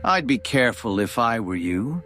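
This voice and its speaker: A man's voice: medium pitch, very animated, normal speed, fairly bright tone, faint music behind. A man playing an intimidating, dangerous character who makes veiled threats in an audio drama. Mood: threatening.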